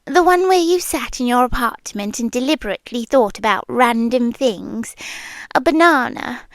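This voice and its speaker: A woman's voice: on the high side, lively intonation, no echo. A British woman playing a lonely, obsessive, affectionate stalker girl in an intimate ASMR roleplay, speaking tenderly and breathily, slipping into whispers. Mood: playful, fond. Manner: slow, breathy, tender, with pauses and sighs. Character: lonely obsessive bodiless young female stalker, possessively affectionate. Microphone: close microphone.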